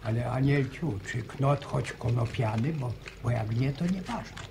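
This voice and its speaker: An actor playing a pious, superstitious old village grandfather in a radio play, speaking slowly. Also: medium pitch, gravelly. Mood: grumbling. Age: older. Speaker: radio drama character. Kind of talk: 1950s radio play acting. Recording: noisy old radio recording.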